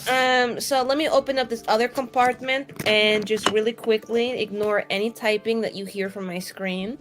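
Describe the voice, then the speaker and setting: A woman's voice: on the high side, steady tone, moderate pace. Woman gaming casually over faint music. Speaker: player in a tabletop roleplaying show. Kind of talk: casual improvised game chat.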